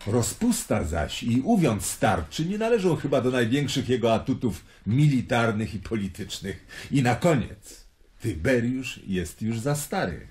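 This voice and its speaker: An actor in a radio drama playing a worldly Roman traveler fascinated by prophecy, speaking in a wry, eloquent storytelling manner. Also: male voice, medium pitch, sing-song, measured pace, a little mellow. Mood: earnest, persuasive.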